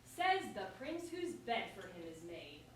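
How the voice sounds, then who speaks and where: A woman's voice: mid-range voice, very animated, a little slow. A woman playing a witty, defiant common-born representative in a staged verse comedy, with haughty, biting theatrical delivery. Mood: biting mockery. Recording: echoey room, far mic, faint noise.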